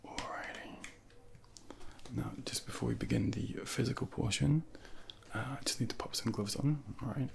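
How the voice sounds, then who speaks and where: Whispering, creaky-voiced man in ASMR role play. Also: mid-range voice, steady tone, normal speed. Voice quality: breathy, whispery, with heavy creak. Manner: gentle, reassuring, instructing step by step. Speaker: ASMR performer role-playing a junior doctor. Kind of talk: soft-spoken ASMR medical role play. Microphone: close binaural microphone.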